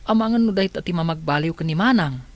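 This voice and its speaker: A man's voice: on the high side, fast, lightly acted. A man with a Filipino accent playing a kind, concerned young son in a radio drama.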